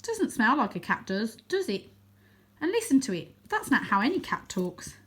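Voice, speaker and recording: A woman voicing a brusque, hot-tempered female cat in a children's storybook, sharp and scornful. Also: fairly high pitch, very animated, moderate pace, breathy edge, pitched above the performer's own voice, heavily acted. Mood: scornful, impatient. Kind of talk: children's storybook character reading.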